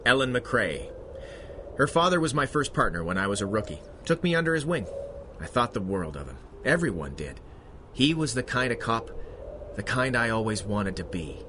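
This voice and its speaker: Brief: male actor for an anxious, good-hearted constable, hesitant and earnest, lively intonation, noisy ambience behind him. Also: mid-range voice, lightly acted. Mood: troubled, sincere. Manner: halting, sincere, flustered. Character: earnest, awkward, honest young policeman, anxious and hesitant. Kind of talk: 1930s radio adventure serial acting.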